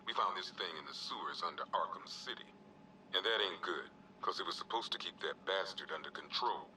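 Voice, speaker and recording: An actor playing a gruff, world-weary American police officer, logging evidence for a video game in a terse, streetwise way with dry, wry asides. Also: male voice, sits low, fairly quick, pushed and bright, far from the mic, slight background noise, poor audio. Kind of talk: scripted video game evidence log narration.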